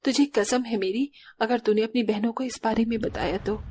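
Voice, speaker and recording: A woman's voice: a little high, moderate pace, dark tone, husky. A woman voicing a poor, devoted village mother in a cartoon, speaking earnestly and sternly. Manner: earnest, emotional.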